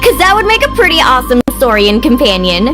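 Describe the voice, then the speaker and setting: A woman's voice: high-pitched, sing-song, fast. A woman voicing a friendly, bubbly young adventurous woman in a game, with an upbeat, breezy, casual manner. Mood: excited, friendly. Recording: music behind the voice.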